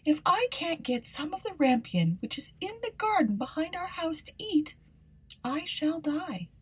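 A woman voicing a pale young wife pining for a salad, in a high plaintive tone. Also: big pitch swings, measured pace, low-quality recording. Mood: pleading.

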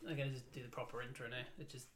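A man's voice: mid-range voice, little pitch movement, racing, fairly bright tone, slight background noise. A man hosting a casual comedy chat. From Wales. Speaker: podcast host.

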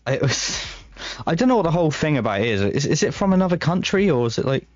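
A man's voice: on the high side, big pitch swings, fast talker, dry recording, dull audio. A man co-hosting a casual podcast, rambling and hesitant, with plenty of fillers. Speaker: podcast co-host. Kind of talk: casual chat.

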